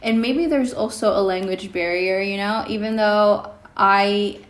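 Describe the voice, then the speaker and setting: A young woman vlogger whose first language is Indonesian, talking casually to her viewers with many pauses and ums. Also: medium pitch, steady tone. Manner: hesitant, many ums, reflective. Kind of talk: casual vlog talk to camera. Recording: echoey room with faint music.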